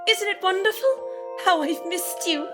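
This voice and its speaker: A woman's voice: extremely high pitch, lively intonation, unhurried. A woman playing a sweet, delicate, joyful little sister, gushing and affectionate, in a theatrical radio play. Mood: joyful, loving. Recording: music behind the voice.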